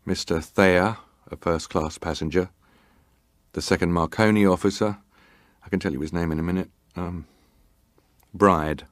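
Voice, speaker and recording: Deep-voiced, stoic ship's officer, played by a man. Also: fairly even intonation, normal speed, pauses often, dry recording, studio quality, close to an everyday voice. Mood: stoic, terse. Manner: clipped, matter-of-fact, formal. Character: stoic, terse male ship's officer testifying as a survivor. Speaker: actor reading testimony. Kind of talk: dramatized reading of hearing testimony.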